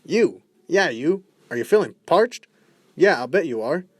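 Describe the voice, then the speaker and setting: A man voicing a peppy, excitable pitchman in a 1920s radio soda commercial. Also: unhurried. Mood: excited. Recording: noisy amateur recording.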